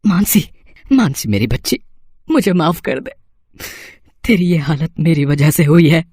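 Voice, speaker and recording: A man acts out a righteous uncle in a cartoon moral tale. He is emotional and impassioned, with wide pitch swings. The recording is dry and close. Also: very animated, measured pace, darkish tone, no room sound, heavily acted. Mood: tearful relief. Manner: impassioned, accusing. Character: righteous, emotional uncle outraged over his lost niece. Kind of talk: acted moral story cartoon.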